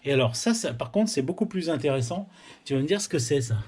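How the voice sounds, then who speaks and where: A man's voice: on the high side, animated, fast, a little mellow, husky. A man doing a casual technical interview, explaining and recapping as he goes. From France. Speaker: interviewer, amateur radio tutorial host. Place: indoors at a radio amateur's home.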